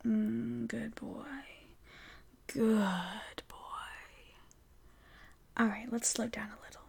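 Woman, whispered roleplay voice, teasing and coaxing, mellow with a gravelly edge. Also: a little high, steady tone, slow. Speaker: audio roleplay performer.

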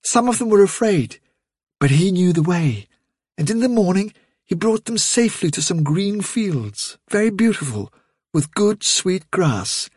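A man voicing a gentle-lilting mother rabbit telling a fairy tale to her young in a storybook. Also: high voice, very animated, unhurried, husky, dry recording, clean studio sound, pitched above the performer's own voice, full character voice. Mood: rapt, storytelling.

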